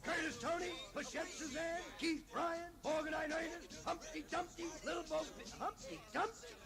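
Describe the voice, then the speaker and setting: A man's voice: very high voice, moderate pace, music in the background. A man playing a declamatory, mock-grave speaker in a vintage satirical war-film trailer.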